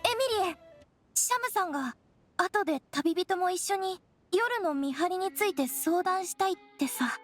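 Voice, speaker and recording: A woman's voice: very high-pitched, animated, moderate pace, faint music behind. A woman playing a young, earnest, brooding traveler with plain, direct speech in a Japanese game.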